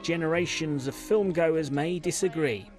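A British male news reporter reads a scripted tribute package in a fairly high voice. Music plays softly behind his narration. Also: moderate pace.

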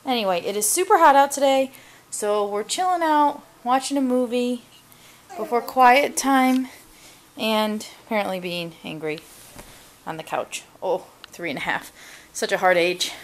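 A woman's voice: fairly high pitch, measured pace, a little hiss or hum. Chatty woman vlogging at home. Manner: chatty, upbeat, talks straight to viewers. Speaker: family vlogger. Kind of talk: casual vlog chat to camera. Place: car, then a home kitchen and living room. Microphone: handheld camera at arm's length.

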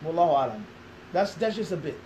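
Fast emphatic man with a high voice. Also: very animated, darkish tone, a little hiss or hum, low-quality recording. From Philadelphia. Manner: emphatic, rhetorical questions, gestures a lot. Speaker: interviewee, Islamic teacher. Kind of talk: animated interview answer. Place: small classroom indoors.

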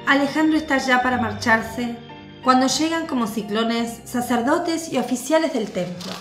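A woman giving measured, scripted narration for a radio drama. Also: sits high. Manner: measured, descriptive. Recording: echoey with music bed.

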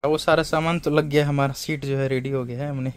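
A man's voice: level tone, brisk. Man vlogging in a noisy train. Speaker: travel vlogger. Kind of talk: casual vlog chat mixing Hindi and English. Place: inside a train compartment. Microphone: handheld phone at arm's length. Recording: noisy train carriage with vehicle rumble.

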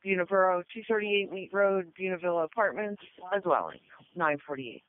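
A man's voice: high voice, brisk, dry recording. A man working as a county dispatcher, announcing a fire call over two-way radio. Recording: tinny, dry radio transmission.

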